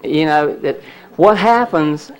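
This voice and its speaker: A fairly high-voiced man runs a live seminar demonstration, speaking to a room full of people. He explains each step as he goes and keeps checking whether everyone can see. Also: animated, takes it slowly, smooth, poor audio. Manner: chatty, explains step by step, asks the audience. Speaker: healing seminar presenter. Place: church hall.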